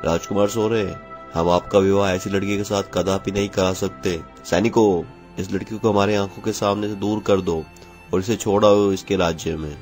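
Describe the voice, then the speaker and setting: A man's voice: low-pitched, steady tone, moderate pace, a little mellow, faint music behind, lightly acted. A man voicing an authoritative, decisive king and father with formal, commanding speech in a cartoon tale. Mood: stern. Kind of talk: Hindi cartoon fairy-tale dialogue.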